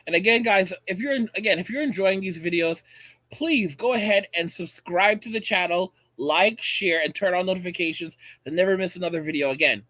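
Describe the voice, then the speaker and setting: An American man in his late thirties with a noticeably high-pitched voice. He talks like a video channel host, giving opinionated commentary straight to his viewers. His delivery is emphatic and repetitive for effect, in a dry, close recording. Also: sharp tone, no echo. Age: late 30s. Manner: emphatic, repeats phrases for effect.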